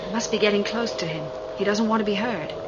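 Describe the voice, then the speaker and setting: A woman's voice: medium pitch, quick pace, close to an everyday voice. A woman playing an inquisitive, persistent starship crew member in a 1980 sci-fi radio drama, questioning and insistent. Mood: hushed, wary. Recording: muffled, noisy old radio recording.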